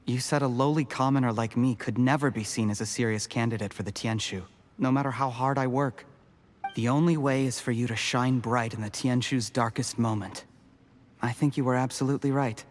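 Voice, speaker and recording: A man's voice: mid-range voice, steady tone, fairly quick, slight background noise, lightly acted. An actor playing a timid, ambitious young male schemer, nervous yet eager.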